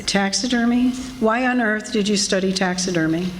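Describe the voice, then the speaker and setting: A medium-pitched female voice from an actress as a long-suffering wife in an old-time radio comedy. She scolds and teases with dry exasperation, over faint background noise. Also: moderate pace, natural voice. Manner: dryly exasperated, teasing. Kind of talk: 1940s radio comedy acting. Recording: live stage recording with background noise.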